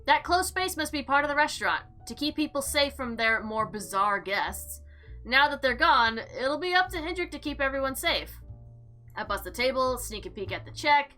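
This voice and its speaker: A woman with a high, bright voice hosts a game playthrough. She reads the story text aloud with lively swings of pitch and drops in slangy, sarcastic asides. Faint music plays behind her. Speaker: gaming video host. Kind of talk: animated let's play, reading game text aloud with casual commentary.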